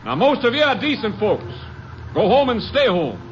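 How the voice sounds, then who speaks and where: An actor playing a forceful, declamatory officer leading a raid in a 1950s radio crime drama. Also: male voice, very high-pitched, very animated, normal speed, a little hiss or hum. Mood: commanding, loud. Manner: declamatory, commanding. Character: forceful police officer commanding a town raid. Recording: muffled vintage radio recording with music.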